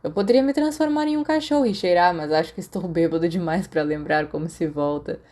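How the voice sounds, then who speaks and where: A woman's voice: on the low side, a little fast, dark tone, natural voice. A woman voicing a tipsy young man in an audiobook, rueful and bitter as he rambles in slang. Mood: tipsy, joking. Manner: slangy, self-deprecating, drunken rambling. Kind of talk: audiobook character dialogue.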